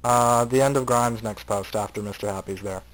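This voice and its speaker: A man's voice: fairly low pitch, steady tone, normal speed, low-quality recording. A man co-hosting a casual chat, teasing his partner with dry sarcasm. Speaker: co-host.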